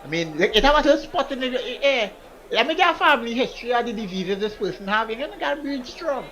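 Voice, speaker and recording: A man's voice: very high-pitched, lively intonation, fast talker, bright, forceful tone. A young man co-hosting a podcast, telling stories with lots of laughter and quoted voices. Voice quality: laughs often, storytelling, quotes people in put-on lines. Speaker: podcast co-host. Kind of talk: casual chat.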